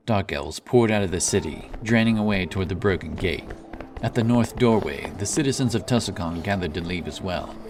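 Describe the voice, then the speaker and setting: A man narrating a scripted fantasy story in a dramatic, deliberate manner. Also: deep voice. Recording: distant voice under loud background music.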